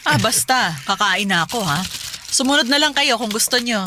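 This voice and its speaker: A woman's voice: a little high, lively intonation, normal speed, lightly acted. A woman acting as a nagging, strict middle-aged mother in a radio drama, short-tempered and scolding, with faint noise in the recording. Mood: dismissive nagging. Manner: scolding, naggy.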